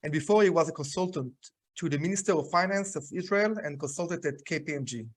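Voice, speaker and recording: Higher-voiced podcast host, halting delivery. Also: male voice. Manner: halting, filler-heavy introductions. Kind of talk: interview hosting.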